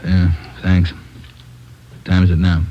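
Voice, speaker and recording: An actor playing a gruff, curt police chief in a radio crime drama. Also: male voice, low voice, completely flat delivery, takes it slowly. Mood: waiting, uneasy. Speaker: police captain. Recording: dull, faintly noisy old radio recording.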